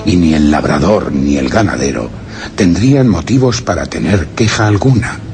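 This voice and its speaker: A man playing a solemn, anguished explorer who narrates a horror radio play in grave, measured, literary phrases. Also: low-pitched, full character voice. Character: solemn, anguished male explorer recounting grim discoveries. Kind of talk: dramatic radio play narration, first-person horror tale. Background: music.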